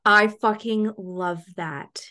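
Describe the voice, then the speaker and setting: A woman's voice: mid-range voice, slow pace, sharp tone. A woman in her late twenties from Canada, hosting a casual podcast interview, chatty and swearing freely. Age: 20s. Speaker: podcast host.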